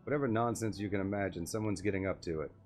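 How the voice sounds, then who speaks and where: Casting: male player as stern old game sorceress, sardonic, haughty, lowish register, faint music behind. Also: quick pace, a little mellow, natural voice. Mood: sardonic, ominous. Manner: dry, haughty, wordy. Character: crabby, intimidating old female sorcerer, dry and sardonic.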